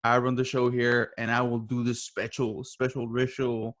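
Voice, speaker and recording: A man's voice: medium pitch, monotone, moderate pace, a bit bright, no room sound, natural voice. A man acts out a shady new age psychic for an audio drama. He is a bossy con man who gives commands and ominous pronouncements as he leads an eerie ritual. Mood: bossy, mysterious.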